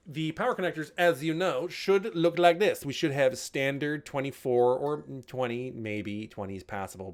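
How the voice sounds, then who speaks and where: A man hosting a tech video, talking casually and jokingly as he explains hardware tips, swearing now and then and getting louder on each new tip, recorded indoors on a camera mic while he holds up parts. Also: mid-range voice. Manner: jokey, swears casually, lively bursts on tip headings. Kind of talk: casual instructional talk.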